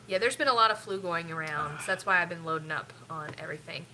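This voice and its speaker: A woman's voice: fairly low pitch, quick pace, bright, forceful tone, a little hiss or hum, low-quality recording. A middle-aged woman with a stuffy, sniffly nose, rambling casually with lots of ums while hosting a family live stream. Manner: rambling, chatty, with frequent ums.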